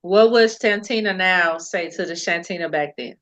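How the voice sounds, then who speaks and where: A woman's voice: lowish voice, normal speed, fairly bright tone. A woman hosting a podcast in an easygoing, affectionate way, with casual terms of endearment. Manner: affectionate, easygoing, calls people boo and baby. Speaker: podcast host. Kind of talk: casual interview chat.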